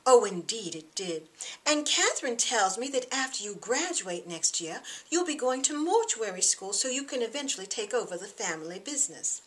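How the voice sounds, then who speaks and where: A woman's voice: on the high side, very animated, normal speed, voice pushed up, rough recording. A woman voicing a gushing, chatty middle-aged mother and gracious lady of the house in an audiobook. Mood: gushing, sociable.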